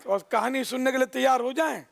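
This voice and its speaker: A man telling a children's moral story in an expressive way, addressing his young listeners directly and drawing out phrase endings for emphasis. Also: very high-pitched, brisk, gravelly.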